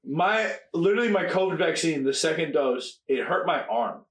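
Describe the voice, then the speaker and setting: Young guy podcast cohost, kinda high voice, lots of swearing, fast rambly stories, echoey room. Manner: swears freely, quick animated storytelling. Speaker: podcast co-host. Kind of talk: casual chat.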